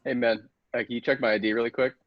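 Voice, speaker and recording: A man's voice: mid-range voice, little pitch movement, very fast, no room sound, lightly acted. A man playing a casual, pushy bro who cajoles in slangy, hesitant talk, in improvised comedy with a dull, dry sound. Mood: sly, insistent. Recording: dull, dry close recording.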